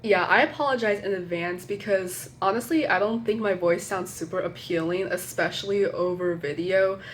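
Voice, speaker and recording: Young woman, low voice, chatting to a phone. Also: normal speed, a little hiss or hum. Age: young adult. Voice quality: slightly nasal. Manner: hesitant, apologetic, many fillers and restarts. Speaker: college student vlogger. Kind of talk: casual rambling chat to camera. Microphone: phone camera.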